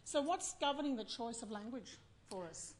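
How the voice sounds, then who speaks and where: A woman's voice: on the high side, lively intonation, moderate pace. A woman chairing a festival panel, posing questions and musing aloud in a curious, chatty way with frequent fillers. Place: festival venue before an audience.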